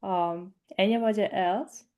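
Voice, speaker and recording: A woman's voice: medium pitch, fairly even intonation, measured pace, a little mellow. A woman moderating an online panel, brief and businesslike. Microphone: video call.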